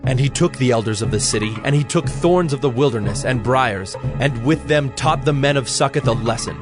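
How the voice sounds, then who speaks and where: A man narrating scripture from a script in a measured, solemn manner. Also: mid-range voice, lively intonation, over music.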